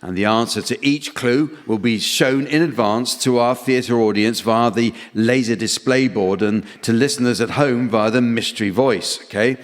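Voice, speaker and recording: A man's voice: mid-range voice, moderate pace, hardly any pauses. A man chairing a comedy panel game, delivering scripted comic hosting on stage. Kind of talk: scripted comic hosting before a theatre audience. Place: theatre stage with audience. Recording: live theatre reverb, audience sound.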